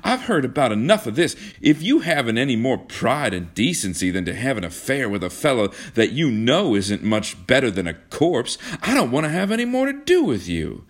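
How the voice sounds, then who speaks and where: A man's voice: high-pitched, big pitch swings, moderate pace, hardly any pauses, voice pushed up, heavily acted. A man voicing a hot-tempered, indignant young man in love in a storybook reading, fierce and accusing. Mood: angry.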